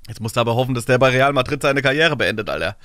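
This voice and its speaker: A man in his thirties giving casual, animated, slangy reaction commentary in bursts, close on a studio microphone. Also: medium pitch, very fast, no echo. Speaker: music reaction streamer. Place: small indoor streaming studio. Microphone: close studio microphone on desk, headphones.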